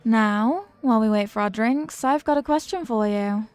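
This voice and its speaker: A woman's voice: fairly high pitch, normal speed, a little mellow, clear, resonant tone, no room sound, lightly acted. Woman acting as a flirty party charmer, confident, coy, playful sweet-talk, intimate roleplay audio, dry close sound, light music. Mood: teasing, flirty. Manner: teasing, coy, sweet-talking.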